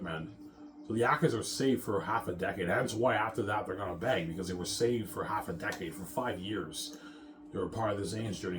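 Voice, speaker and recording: A man in a casual, rambling monologue, boastful and repetitive as he circles back over his phrases. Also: sits low. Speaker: self-styled film maker talking about his movie projects.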